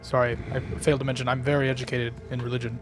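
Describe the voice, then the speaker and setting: A man's voice: mid-range voice, little pitch movement, rapid-fire, background noise. A man with a British accent talking casually as a player in a tabletop roleplaying game.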